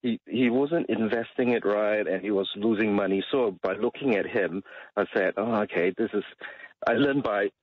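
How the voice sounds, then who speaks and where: A middle-aged man with a husky voice, talking casually and hesitantly as a guest on talk radio. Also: fairly low pitch, moderate pace, dry recording. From Malaysia. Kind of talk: casual chat. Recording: dull, slightly muffled radio audio.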